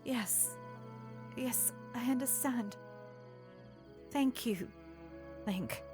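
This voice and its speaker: A woman's voice: on the high side, very slow, over music. A woman playing a polite, eager young princess with formal, earnest phrasing in an audio drama. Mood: soft, polite. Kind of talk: scripted audio drama acting.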